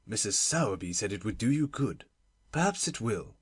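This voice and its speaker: A woman voicing a miserable, absent-minded middle-aged gentleman in an audiobook, husky, fretful and muttering. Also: rumbling low, very animated, normal speed, dry recording, clean studio sound, voice pushed down, full character voice. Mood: worried, muttering.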